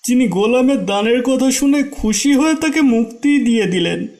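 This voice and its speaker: A man's voice: very high voice, lively intonation, moderate pace, darkish tone, slight background noise. A man voicing a loyal, humble servant in an Islamic moral story cartoon, speaking politely and deferentially. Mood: respectful, earnest. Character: loyal, humble male servant of a generous master.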